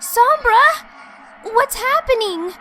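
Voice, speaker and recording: A woman voicing a sweet, excitable young girl unicorn in an earnest, expressive cartoon style. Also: very high-pitched, slow pace, soft background music, heavily acted, rough recording. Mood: alarmed, pleading. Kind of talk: cartoon-style comic dub acting.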